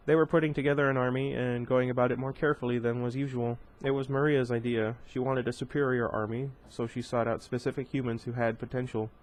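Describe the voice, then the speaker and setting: A man's voice: medium pitch, little pitch movement, fast talker, hardly any pauses, rough recording. Man casually reading a novel aloud. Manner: reads plainly, stumbles and corrects himself, breaks off for joking asides. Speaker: reader of a novel. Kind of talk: casual read-aloud with asides.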